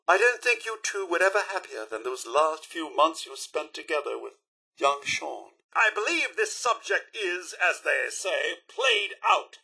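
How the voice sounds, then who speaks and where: A fussy British robot butler from a video game. The voice is high and bright, with theatrical swoops in pitch. The speech is formal, devoted and a touch anxious, like a proper household servant. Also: male voice, slightly rough, exaggerated voice, poor audio. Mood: wistful, then huffy. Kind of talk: video game character dialogue.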